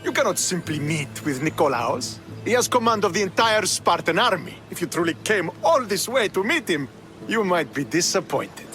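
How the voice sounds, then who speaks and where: An actor playing a stern, proud young Spartan military commander in a video game, speaking formally and with earnest authority. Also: male voice, high voice, big pitch swings, moderate pace, pushed and bright, heavily acted. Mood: stern, challenging. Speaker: video game character, army officer. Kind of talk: dramatic video game cutscene acting. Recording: game soundtrack music behind the voice.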